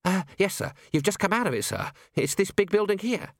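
A man's voice: sits high, very animated, fairly quick, no room sound, studio quality, voice pushed up, exaggerated voice. A man voicing a nervous young male constable who stammers and sounds flustered. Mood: panicky.

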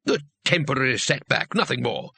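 A man's voice: medium pitch, sing-song, fairly quick, creaky, sharp tone, slightly rough, no echo, exaggerated voice. A man voicing a prickly, indignant wizard who sneers and sputters when challenged.

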